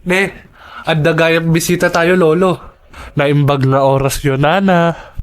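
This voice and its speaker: A man's voice: fairly high pitch, steady tone, measured pace, clean tone, no room sound, natural voice. A man playing a polite, respectful fifteen-year-old schoolboy in an audio drama. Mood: polite greeting.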